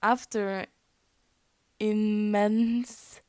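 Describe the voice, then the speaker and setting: Halting Norwegian-accented woman reading fanfic. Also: mid-range voice, flat delivery, a little mellow, clear, resonant tone, dry recording, clean studio sound. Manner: halting, stumbles over words and rereads. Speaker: fanfic reader.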